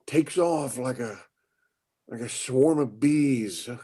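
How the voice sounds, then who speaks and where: Man as slow, halting elderly inventor. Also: medium pitch, mellow, husky. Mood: musing. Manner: halting, repeating words, ruminative. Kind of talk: acted dialogue in a play reading.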